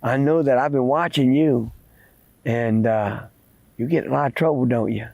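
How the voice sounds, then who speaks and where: A man voicing an eyeless old spirit who threatens and taunts slowly in a spoken folk tale. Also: mid-range voice, gravelly, dry recording, lo-fi sound, natural voice. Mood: stern warning.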